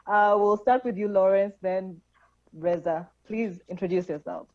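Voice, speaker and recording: A woman moderating an online film festival Q&A, friendly and enthusiastic over a video call. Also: medium pitch. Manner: friendly, enthusiastic, quick laughs. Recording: dull lo-fi call audio.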